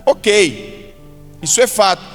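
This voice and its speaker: A Brazilian male pastor delivers a sermon in a high-pitched voice. He exhorts his listeners directly, driving points home through repetition. His voice rings through a long hall reverb, with music playing behind him. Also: sing-song, slow. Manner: exhortative, direct address with acted-out quotes and repetition.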